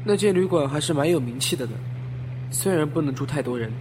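A man's voice: fairly high pitch, animated, fast talker, close to an everyday voice. A man playing a friendly, gossipy taxi driver in a Chinese audio drama, conversational and deferential. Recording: vehicle hum behind the voice.

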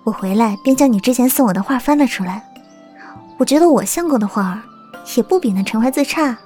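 A woman's voice: fairly high pitch, animated, fairly quick, soft background music, close to an everyday voice. A woman acting a tender, plaintive young wife in a Chinese period romance audio drama. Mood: gentle, curious. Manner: soft, plaintive, coaxing.

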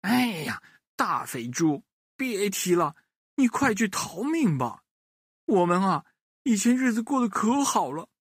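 A man's voice: husky, no room sound, studio quality, heavily acted. A man voicing a frightened mother hen who pours out her complaints in a raised, pleading voice. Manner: rapid, pleading, high-pitched.

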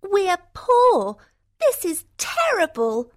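A woman voicing a spoiled, whiny young sister in a storybook reading, complaining in a high voice. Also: wildly swooping pitch, slow pace, exaggerated voice. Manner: whiny and petulant.

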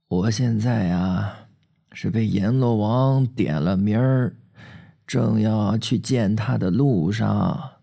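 A man's voice: on the low side, gravelly, mellow, husky, full character voice. A man doing a frail, dying old grandfather. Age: older. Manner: weak, slow, sighing.

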